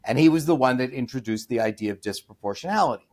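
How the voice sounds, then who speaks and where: Emphatic man trading hesitant fillers for loud outbursts. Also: mid-range voice, animated, fast talker. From United States. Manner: emphatic, many restarts and fillers, rising to loud scornful outbursts. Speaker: podcast guest, author. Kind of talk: opinionated interview talk.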